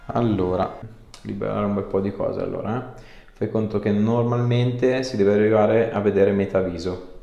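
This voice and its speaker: A man in his thirties with a low, creaky voice. He talks a patient through a hands-on treatment in short, informal instructions. The small clinic room echoes around him. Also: steady tone, moderate pace, warm tone, highs cut off, faint music behind. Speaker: chiropractor.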